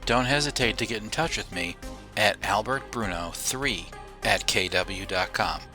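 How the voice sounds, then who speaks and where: A man's voice: fairly low pitch, a little slow, pushed and bright. A man reading scripted closing announcements as the host of an audio fiction show. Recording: voice over prominent music.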